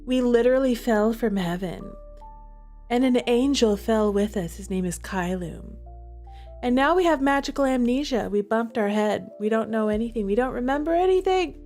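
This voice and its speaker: A woman's voice: fairly high pitch, lively intonation, moderate pace, a little mellow, soft background music. A woman streaming a visual novel, chatting to viewers and reading the game text aloud with dramatic feeling.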